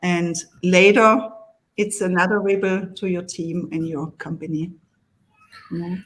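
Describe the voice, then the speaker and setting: A woman's voice: on the low side, little pitch movement, measured pace, poor audio. A German woman speaking English with a German accent as a coach in a live online panel, hesitant, often restarting and laughing. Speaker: coach and guest. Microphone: video call.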